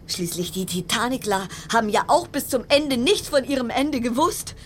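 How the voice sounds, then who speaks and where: An actress in a German radio play voicing a frayed, suspicious cruise ship passenger in a brooding monologue of broken-off, repeated phrases. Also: female voice, fairly high pitch, lively intonation, normal speed, a little hiss or hum, natural voice. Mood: agitated, paranoid. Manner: fragmented, broken-off sentences, repeating words. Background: music.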